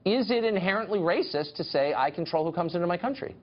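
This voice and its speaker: A male news anchor with a lively, swinging pitch conducts an interview. He asks his questions in a pointed, animated way. The broadcast sound is slightly dull. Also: fast talker. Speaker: cable news host.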